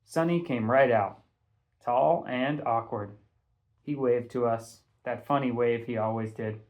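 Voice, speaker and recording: A man narrating a first-person audiobook in a conversational, expressive storytelling manner. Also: medium pitch, measured pace. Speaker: teacher reading a novel aloud.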